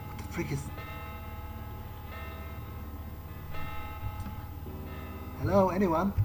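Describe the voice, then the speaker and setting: A jittery young vampire played by a gamer, mid-pitched and slow to get words out. The speech is hesitant and muttered, with repeated words and mild swearing, exasperated at everything around. Game music plays clearly behind the voice. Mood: wary. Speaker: let's player playing in character. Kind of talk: in-character game playthrough reactions, improvised.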